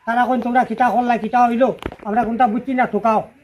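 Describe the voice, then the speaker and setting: A man's voice: very high voice, level tone, fast, lo-fi sound, a little hiss or hum. A middle-aged man with a Sylheti accent telling a personal account in a rambling, repetitive, unscripted way. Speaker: father-in-law telling his family's story.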